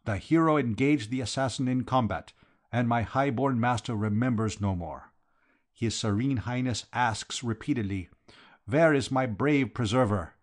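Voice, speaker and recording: A man's voice: medium pitch, normal speed, close to an everyday voice. A man voicing a formal, flowery foreign count, solemn and emphatic, devoted to his master, in a storybook reading. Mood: grandly fervent.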